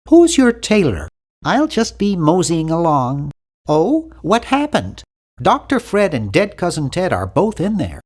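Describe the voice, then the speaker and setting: A young, timid nerd from a cartoon adventure game. His pitch sits high for a man and swings widely from phrase to phrase. He sounds prim and bookish, with a wry, fussy edge. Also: heavily acted. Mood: polite, curious, then cautious. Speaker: game character voice actor.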